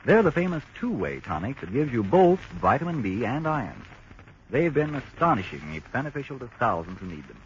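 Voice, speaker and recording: A man announcing a 1940s radio broadcast, smooth, persuasive and measured. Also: medium pitch, sing-song, dull audio, a little hiss or hum.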